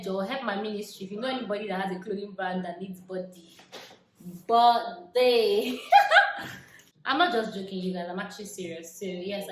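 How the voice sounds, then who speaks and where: A young Nigerian woman talks straight to camera in a relaxed question-and-answer vlog. She laughs easily and often lets her voice drop into quiet asides. The room is echoey, with a faint hiss behind her. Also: mid-range voice. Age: 20s. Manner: chatty, laughs often, drifts into soft asides. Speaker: vlogger. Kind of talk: casual Q&A chat to camera.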